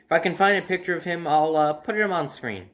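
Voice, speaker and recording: A man's voice: fairly high pitch, fairly quick, fairly bright tone. A young adult man casually telling a personal story to camera in a conversational, emphatic way. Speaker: storyteller and host. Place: indoor room by a window at home.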